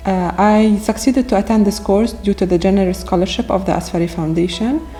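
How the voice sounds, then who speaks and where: A woman's voice: mid-range voice, fairly quick, mellow, soft background music. A woman from Lebanon who works in human rights, speaking formally and carefully in a seated interview. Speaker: human rights NGO worker. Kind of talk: interview testimony. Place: indoor room with curtain backdrop.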